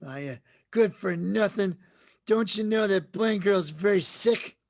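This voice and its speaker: A man playing an angry, grief-stricken drunken druggist with a gravelly voice in an old-time radio drama. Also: high voice, measured pace. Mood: angry, bullying. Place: remote video call recording.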